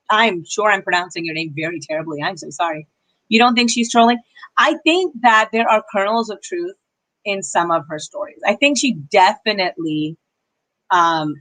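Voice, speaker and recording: A young woman giving casual, opinionated commentary on a livestream, breaking off to answer her chat with quick apologies. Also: medium pitch, a bit bright, rough recording. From Pakistani heritage. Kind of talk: casual live-stream commentary reading chat.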